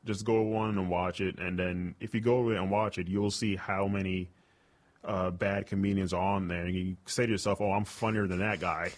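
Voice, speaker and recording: A man's voice: low-pitched, flat delivery, normal speed. A young man, a stand-up comic and radio host, chatting casually in an interview and rambling with lots of fillers like "like" and "you know".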